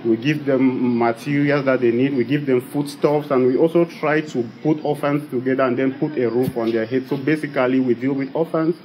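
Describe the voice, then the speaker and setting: A man's voice: mid-range voice, steady tone, fairly quick, hardly any pauses, a little mellow, low-quality recording. A man from Cameroon with a Cameroonian English accent, speaking earnestly and emphatically in an interview and repeating phrases for force. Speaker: founder of an advocacy organization. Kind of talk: interview testimony. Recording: music playing behind the voice.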